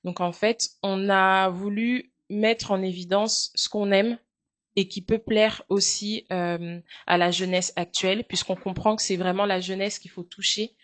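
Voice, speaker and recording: A woman's voice: mid-range voice, steady tone. Earnest French woman in her thirties. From France. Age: 30s. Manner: measured, earnest, with many hesitant fillers. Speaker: guest, charity founder. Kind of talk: interview answers.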